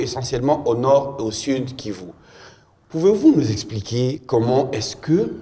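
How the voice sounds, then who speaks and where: A man hosting a studio interview, measured and full of hesitations. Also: medium pitch, warm tone, big-room sound. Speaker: TV talk show host.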